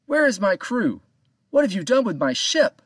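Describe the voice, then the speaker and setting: Loud, bossy pirate captain, voiced by a man reading a story. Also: very animated, moderate pace, no echo, studio quality. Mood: demanding. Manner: loud, demanding, high-pitched.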